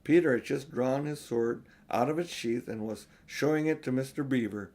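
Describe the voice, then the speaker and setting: A man's voice: medium pitch, normal speed, a little mellow. Older man reading a storybook. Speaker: audiobook reader. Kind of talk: expressive storybook narration.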